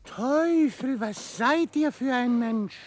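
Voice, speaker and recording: Squeaky, high-pitched, quirky old trapper. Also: male voice, slow pace. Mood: flustered. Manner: quirky, theatrical, folksy old-fashioned speech. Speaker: actor in a radio drama. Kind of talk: German radio drama acting.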